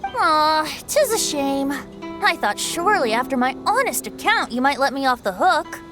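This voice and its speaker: A woman's voice: high voice, sing-song, moderate pace, over music. A woman voicing a carefree, playful boyish young bard with a light voice and theatrical manner in a video game. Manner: playful, theatrical, flowery phrasing. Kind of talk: animated game dialogue.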